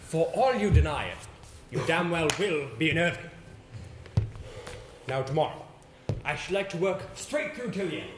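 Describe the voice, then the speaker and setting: A confident, encouraging theatre mentor, acted by a man, with a fairly high voice. The stage performance is echoey and clearly noisy. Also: lively intonation, moderate pace, pauses often, natural voice. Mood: encouraging, earnest. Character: encouraging, sympathetic, confident theatre actor coaching a pupil. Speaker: amateur stage actor. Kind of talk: live stage play acting.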